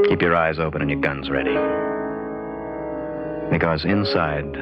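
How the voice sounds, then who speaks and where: An actor playing a hard-boiled adventurer who tells his tale in dramatic, deliberate old-time radio drama narration, with weighty pauses. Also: deep voice. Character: hard-boiled adventurer recounting his strange, doomed expedition. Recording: muffled old radio recording.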